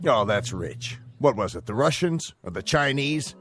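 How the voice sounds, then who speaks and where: An actor playing a gruff, skeptical army officer who mocks his sergeant's story. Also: medium pitch, sing-song, normal speed, fairly bright tone, soft background music. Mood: sarcastic. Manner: sardonic, mocking. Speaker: commanding officer. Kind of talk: 1960s television drama acting.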